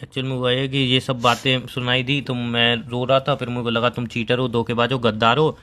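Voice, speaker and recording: Man acting as a fast-talking, teasing young boyfriend. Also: medium pitch, flat delivery, sharp tone, lightly acted. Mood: sheepish, explaining. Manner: teasing, argumentative, mock-aggrieved. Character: teasing young boyfriend playing an aggrieved prankster. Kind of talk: scripted comic phone-call skit in casual chat.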